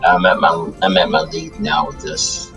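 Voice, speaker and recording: A man playing a weary, guarded demon outcast in an improvised anime game roleplay, speaking haltingly and repeating himself. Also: rumbling low, fairly even intonation, a bit bright. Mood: resigned, hurt. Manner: halting, repetitive, sighing. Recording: distant mic under music. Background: music.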